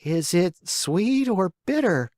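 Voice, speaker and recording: A storyteller voicing a whiny, stubborn wooden marionette boy in a high, strained, whining voice. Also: big pitch swings, slow pace, darkish tone, no echo, clean studio sound. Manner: bargaining, then wailing and pleading.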